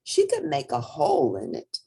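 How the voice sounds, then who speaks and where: A woman's voice: mid-range voice, wildly swooping pitch, moderate pace, creaky, warm tone, slightly rough. A woman reading a children's storybook aloud expressively, speaking directly to young listeners. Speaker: storybook reader.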